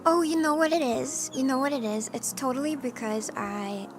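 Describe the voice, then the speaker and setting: A woman streamer chatting casually with her live chat, with a hoarse voice and a playful cat persona. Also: sits high, normal speed. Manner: chatty and playful, often clearing her throat. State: hoarse from allergies. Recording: music playing in the background.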